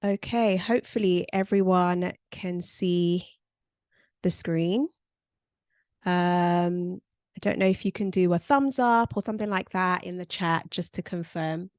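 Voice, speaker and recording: A woman's voice: fairly low pitch, fairly even intonation, a little slow, darkish tone, dry recording, clean studio sound. A woman, presenting a career workshop over a video call in a conversational way with frequent ums. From born in Nigeria, raised in South London.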